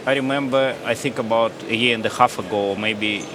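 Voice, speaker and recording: A man's voice: mid-range voice, steady tone, fairly quick. A man with a Russian accent asks informal questions as a television interviewer. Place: trade show stand. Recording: noisy busy exhibition location, clear background noise.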